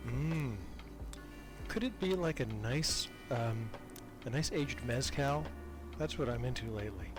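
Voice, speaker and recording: A man's voice: medium pitch, expressive, a little slow, music in the background. An older man chatting casually as a podcast guest, talkative and self-deprecating. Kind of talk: casual interview chat.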